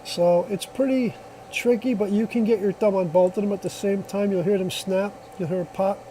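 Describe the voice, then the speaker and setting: A man's voice: high-pitched, fairly even intonation, moderate pace, warm tone, slight background noise, poor audio. A man giving a casual hands-on product demonstration in a plainspoken, folksy, reassuring way, recorded on a phone.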